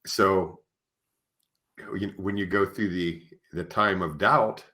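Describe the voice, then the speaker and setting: A man with a very low yet bright, forceful voice hosts a podcast. He talks in an informal, conversational way, often adding "you know" to his phrases. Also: steady tone, slow. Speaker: podcast host. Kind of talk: conversational interview.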